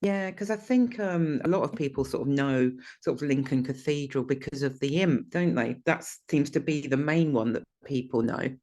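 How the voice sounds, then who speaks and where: A woman's voice: very deep voice, normal speed, few pauses, a little mellow. A woman hosting a podcast, chatting in a conversational manner with her guests. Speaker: podcast host. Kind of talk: casual podcast chat.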